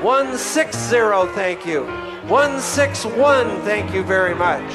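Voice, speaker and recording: A man's voice: high-pitched, animated, slow pace, music in the background. A middle-aged man working as an auctioneer at a live benefit auction, chanting repeated bids into a handheld microphone in a banquet hall. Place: large banquet hall, on stage. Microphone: handheld microphone through a PA.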